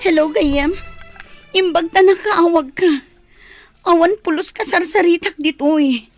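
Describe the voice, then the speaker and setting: A woman's voice: very high-pitched, unhurried, soft background music. A woman playing a bored, complaining young wife in a radio drama, with a Filipino accent. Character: bored young wife kept cooped up at home.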